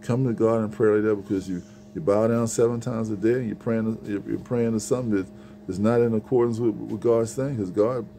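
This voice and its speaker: A man's voice: fairly low pitch, steady tone, a little fast, vocal fry, mellow. A middle-aged man giving an informal, earnest sermon on prayer, talking into a phone held at arm's length in a small shelved room. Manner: earnest, repetitive, conversational preaching. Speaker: preacher. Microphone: phone camera at arm's length.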